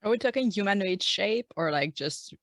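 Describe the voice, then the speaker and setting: A woman's voice: mid-range voice, lively intonation, moderate pace, no echo, studio quality. A woman playing a tabletop role-playing game, chatting and improvising casually.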